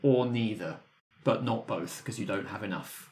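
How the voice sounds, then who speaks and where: A man's voice: lowish voice, normal speed, darkish tone. A man speaking conversationally as game master, explaining the rules of a tabletop game to his players.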